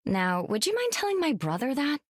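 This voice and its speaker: A modest heroine who is surprised by praise. Her slightly high, faintly husky voice rises and falls expressively, recorded dry. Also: wildly swooping pitch, a little fast, no room sound, exaggerated voice. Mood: modest, pleased.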